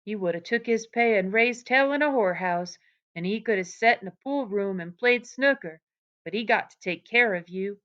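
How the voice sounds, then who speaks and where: A woman reading aloud, voicing a stern old aunt who scolds in a sharp rural drawl. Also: mid-range voice, moderate pace, muffled recording, natural voice. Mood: scolding.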